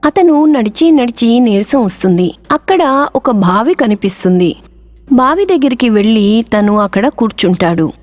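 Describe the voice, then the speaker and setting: A woman's voice: fairly high pitch, expressive, normal speed, darkish tone, dry recording, soft background music. A woman narrating a scripted moral story for children as the storyteller.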